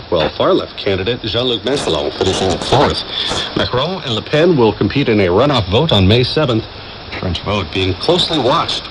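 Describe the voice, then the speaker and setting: A male news presenter delivers a formal, scripted bulletin in a fairly low voice. His measured reading comes through a clearly noisy shortwave radio signal. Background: radio static and noise.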